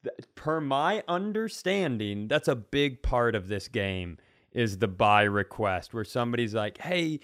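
A man's voice: mid-range voice, animated, unhurried, fairly bright tone, dry recording, clean studio sound. A man co-hosting a comedy podcast, chatting casually and acting out imagined quotes as he tells stories.

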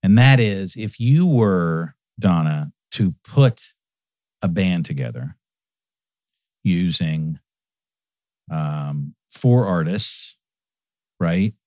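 A man's voice: low-pitched, expressive, extremely slow, pauses often, a little mellow, dry recording, clean studio sound. A man hosting an interview, effusive and eager, often finishing his guest's sentences. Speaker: interview host. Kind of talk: casual interview chat. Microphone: studio microphone.

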